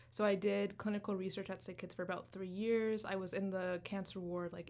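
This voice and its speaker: A woman in her twenties, raised in Canada after an early childhood in Uganda, talking earnestly as a guest in a radio interview, with many "like"s and "um"s. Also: medium pitch. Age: 20s. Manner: earnest, talks quickly with fillers like "like" and "um". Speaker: student club founder, guest. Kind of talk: radio interview, casual chat.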